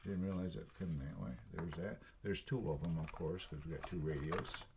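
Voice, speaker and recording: Very deep-voiced man, noisy recording. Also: moderate pace. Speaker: video channel host. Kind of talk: casual unboxing vlog. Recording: clearly noisy recording with clicks.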